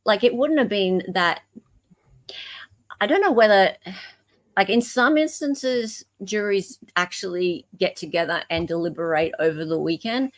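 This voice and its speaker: A woman with an Australian accent co-hosting a casual discussion of legal emails, explaining things in a halting, self-correcting way. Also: medium pitch. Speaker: podcast co-host.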